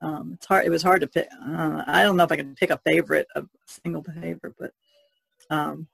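A woman's voice: sits low, level tone, brisk, vocal fry, a bit bright, breathy edge, rough recording. A woman chatting casually as a podcast panelist, in a hesitant, rambling way. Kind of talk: casual chat.

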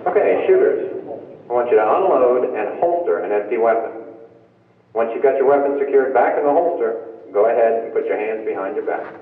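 A man giving commands as a firing range officer over a loudspeaker. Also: medium pitch, brisk, distant mic, slight background noise. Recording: tinny, echoing range loudspeaker from afar.

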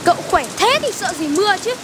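A woman playing a small, frank, excitable animal friend in a children's cartoon, childlike and expressive. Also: extremely high pitch, fast, noisy background. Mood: grumbling.

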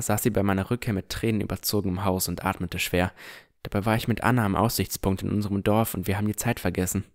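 A man narrating a fantasy audio drama in the first person from a script. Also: low-pitched.

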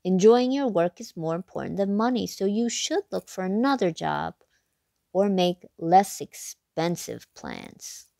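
A woman hosting a read-aloud, reading a children's picture book expressively, with big excited leaps in pitch. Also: a little slow, gravelly, no echo, studio quality.

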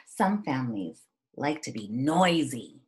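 A woman's voice: extremely low pitch, very animated, takes it slowly, darkish tone. A woman in her thirties speaking as a teacher to young children, reading a picture book aloud and talking about it in simple phrases. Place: small indoor room at home.

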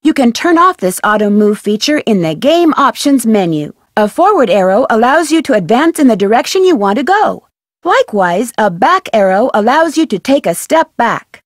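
A woman with an American accent voicing a friendly, inquisitive young amateur detective in a scripted video game, speaking in a chipper, clearly enunciated way. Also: on the high side, sing-song, moderate pace, no room sound, studio quality. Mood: chipper, instructive. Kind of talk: scripted video game voice lines and tutorial narration.

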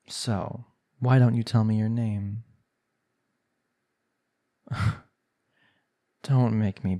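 A man's voice: on the low side, vocal fry, warm tone. A man playing a smug, bratty villain who flirts with his captive in an intimate, condescending ASMR roleplay. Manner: teasing, condescending, unhurried pet-name sweet talk.

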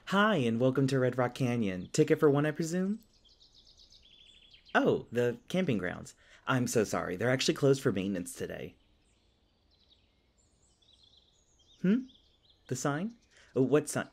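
A man's voice: medium pitch, very animated, moderate pace, pauses often. A man playing a polite, hesitant, folksy elf park ranger in an audio roleplay. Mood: polite, awkward. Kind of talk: ASMR audio roleplay acting.

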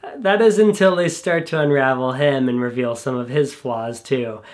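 A young man reviewing films casually in a small bedroom studio, sounding a little congested from a cold, relaxed and enthusiastic with frequent fillers. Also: mid-range voice, normal speed. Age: young adult. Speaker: film reviewer.

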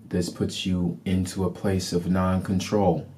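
A man with a dark, soft-edged voice leads a guided meditation. He speaks in calm, deliberate, repeated phrases with very little rise and fall. A faint background noise sits behind him. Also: deep voice. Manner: calm, deliberate, soothing instruction.

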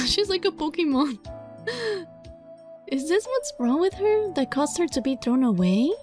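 A woman reading a visual novel aloud as a let's play, dramatic and playful, in a giggly, flustered, excitable manner. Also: high voice, a little slow. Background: music.

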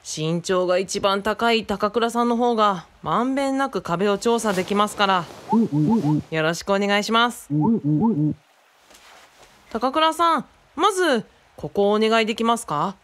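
An enthusiastic young man of a radio drama, acted by a woman. His voice sits at an ordinary female pitch, with a boyish, casual turn. He comes across as friendly and keen to investigate. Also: animated, a little slow, a bit bright, slight background noise. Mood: focused, polite. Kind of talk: Japanese radio drama acting.

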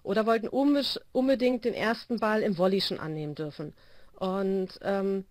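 A woman's voice: medium pitch, fairly even intonation, normal speed, darkish tone, no room sound. Woman coach on a phone call. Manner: explanatory, many hesitation fillers. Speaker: volleyball coach. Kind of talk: video call interview. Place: small room indoors. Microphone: phone held to ear.

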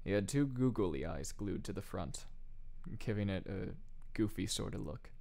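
A man's voice: on the low side, expressive, moderate pace, creaky, darkish tone. A man voicing a pensive teenage boy who narrates his story as a first-person audiobook. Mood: reflective.

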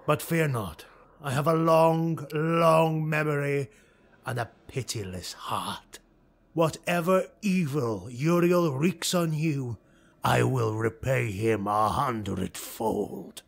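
A man voicing a cold, commanding, vengeful elf lord with an imperious, forceful delivery, for an audiobook. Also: fairly high pitch, lively intonation, slow pace, creaky, exaggerated voice. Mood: grim resolve.

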